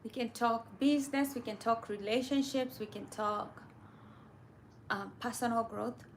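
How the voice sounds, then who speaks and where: A woman's voice: on the high side, steady tone, measured pace, a little hiss or hum. A woman hosting a casual livestream, chatting and reading viewer comments aloud. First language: Kamba.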